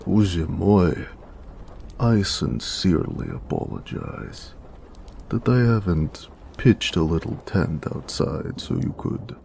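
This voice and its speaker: A very deep, heavily gravelled orc blacksmith acted by a man, speaking. He is a gentle, doting lover who teases affectionately in a very slow, unhurried drawl, as in an intimate romantic audio roleplay. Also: very animated, a little hiss or hum, heavily acted. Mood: teasing sarcasm. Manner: affectionate, playfully sarcastic, unhurried.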